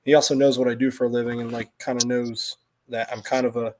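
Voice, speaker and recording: Man, middle-aged, American accent, medium pitch, casual livestream chat, rambling, self-interrupting storyteller. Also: flat delivery, fast, background noise.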